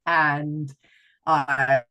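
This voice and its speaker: A woman's voice: sits low, little pitch movement, drawn-out words, sharp tone. A middle-aged woman with a Canadian accent touched by Irish, talking reflectively as a guest on a podcast interview, with many fillers and restarts. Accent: Canadian with some Irish influence. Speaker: guest, life coach.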